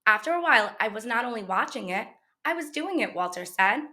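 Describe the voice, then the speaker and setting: Bright-voiced woman reading to children. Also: fairly high pitch, very animated, fairly quick. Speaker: teacher reading a book aloud. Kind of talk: children's picture-book read-aloud.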